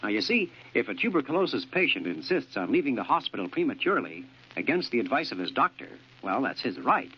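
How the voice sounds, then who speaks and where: A man's voice: medium pitch, very animated, quick pace. A man announcer giving an earnest, persuasive public service message in 1940s radio style. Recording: muffled, faintly noisy old radio recording.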